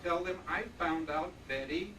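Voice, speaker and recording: A man acting a high-pitched, desperate scheming killer. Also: moderate pace, sharp tone, echoey room. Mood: menacing. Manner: tough old-time gangster talk. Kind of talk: old-time radio drama acting. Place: convention stage, live performance. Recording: distant, noisy live stage recording.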